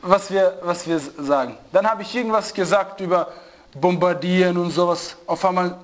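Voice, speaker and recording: A man giving an informal religious lesson to a small group, telling anecdotes with animated, repetitive phrasing. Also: high voice, moderate pace. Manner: animated storyteller, repeats and restarts phrases. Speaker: religious teacher.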